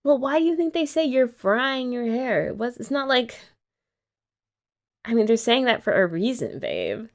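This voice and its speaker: A woman's voice: a little high, very animated, normal speed. A young woman chatting playfully and teasingly in a girlfriend roleplay ASMR. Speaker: ASMR creator.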